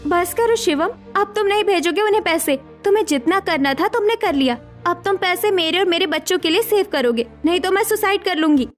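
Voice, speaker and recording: A woman's voice: very high-pitched, animated, brisk, few pauses, soft background music. A woman voicing an insistent, demanding young city wife in a dramatized Hindi story. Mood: angry, demanding.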